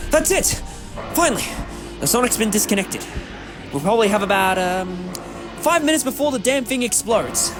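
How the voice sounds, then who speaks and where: A man's voice: high-pitched, big pitch swings, moderate pace. Guy acting as quirky time traveler hero, very swoopy theatrical delivery, music playing behind. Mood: urgent. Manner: theatrical, hesitant musing breaking into exclamations. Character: eccentric time-travelling male adventurer, quirky, urgent, clever. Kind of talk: fan audio drama acting.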